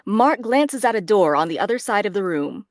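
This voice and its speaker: Animated woman narrator, very dry sound. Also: mid-range voice, moderate pace, no echo. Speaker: narrator reading stage directions. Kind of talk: scripted narration.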